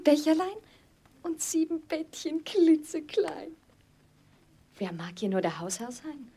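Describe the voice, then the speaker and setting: Casting: gentle young princess played by a woman, very breathy, high, soft. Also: exaggerated voice. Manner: soft, rhyming, often whispery.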